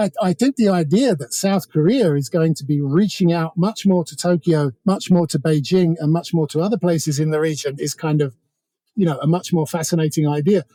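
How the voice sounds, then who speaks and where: A man's voice: a little high, dark tone, dry recording. A man speaking as an interview guest, giving informal, discursive analysis with a wry edge. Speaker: interview guest, author on North Korea.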